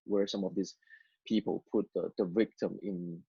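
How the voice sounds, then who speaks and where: Hong Kong man, first language Cantonese, halting storyteller, low voice, dull lo-fi sound. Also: fairly even intonation, creaky, mellow, breathy edge, dry recording. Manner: halting, repeats words, many fillers. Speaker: interview guest. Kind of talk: testimony interview.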